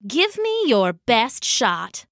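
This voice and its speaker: A woman's voice: fairly high pitch, huge pitch swings, very slow, fairly bright tone, no echo, studio quality, heavily acted. A woman voicing a sly, jealous male trickster god in a storybook myth, flippant and taunting.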